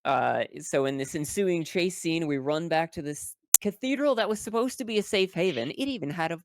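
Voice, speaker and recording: A player of a tabletop role-playing game giving a rambling, halting spoken recap in casual language, with wry phrasing and free swearing. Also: mid-range voice, expressive, a little hiss or hum. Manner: swears freely, wry and colloquial.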